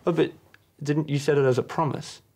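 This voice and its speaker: A young man with a South African accent, deadpan and awkward as he asks mock-innocent interview questions. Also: on the high side, brisk. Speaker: comedy host interviewer.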